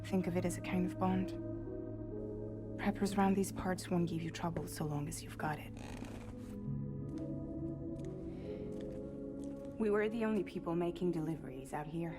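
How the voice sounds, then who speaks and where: An actress playing a guarded, weary woman courier in a video game cutscene, with measured, deliberate phrasing. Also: lowish voice, lightly acted. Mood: cool, deliberate. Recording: music playing behind the voice.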